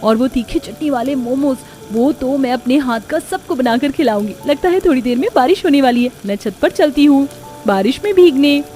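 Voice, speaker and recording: A woman's voice: high-pitched, animated, normal speed, mellow. A woman voicing a sweet, eager, dutiful young new bride in a cartoon story. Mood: excited.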